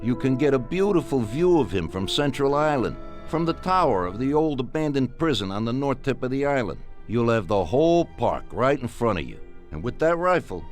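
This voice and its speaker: A ruthless mob boss voiced by a man from a video game, with a middle-register voice. He speaks deliberately and with authority, like business is being settled. Faint music plays behind him. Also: medium pitch, animated, natural voice. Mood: calm, commanding. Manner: authoritative, deliberate, giving orders. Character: ruthless, calculating Mafia boss, commanding and businesslike. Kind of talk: scripted video game dialogue.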